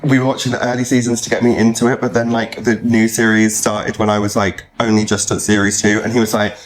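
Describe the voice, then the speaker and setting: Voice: mid-range voice, level tone, quick pace, low-quality recording. A podcast host chatting casually and informally with guests, often dropping in "like". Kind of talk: casual chat interview.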